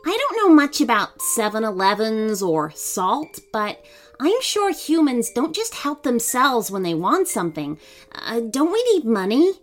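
A woman's voice: on the high side, very animated, a little slow, faint music behind, pitched above the performer's own voice. A woman voicing a timid, naive, anxious young male dog in a storybook, hesitant and yelping.

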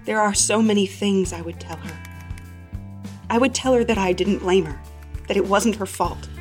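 A woman playing a haunted, grieving female survivor, telling a first-person horror story solemnly and deliberately. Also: mid-range voice, close to an everyday voice. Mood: grieving, resigned. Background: faint music.

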